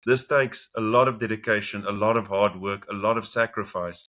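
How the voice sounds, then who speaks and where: A man's voice: fairly low pitch, fairly even intonation, moderate pace, pushed and bright. A man with a South African accent hosting an interview and encouraging his guest, with small restarts. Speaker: podcast host.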